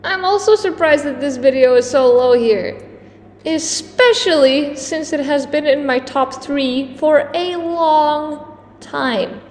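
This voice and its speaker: High-pitched woman in an echoey room. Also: slow. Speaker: host of a countdown video. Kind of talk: casual commentary to camera. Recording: echoey reverberant room, clear background noise.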